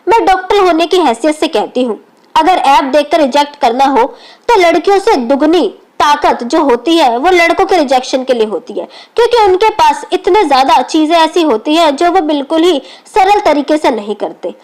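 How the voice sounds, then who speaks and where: Very high-pitched woman storyteller. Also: lively intonation, normal speed, a little mellow. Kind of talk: scripted narration of an emotional moral story.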